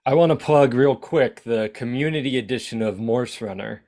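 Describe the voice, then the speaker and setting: A man in a casual livestream chat, making a quick, cheerful aside. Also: medium pitch, a little mellow.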